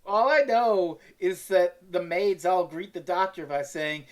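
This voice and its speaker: A woman's voice: fairly low pitch, a little slow, a little mellow. A woman co-hosting a casual recap, speaking in a blunt, sweary, informal way. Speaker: podcast co-host recapping the story. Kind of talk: casual chat.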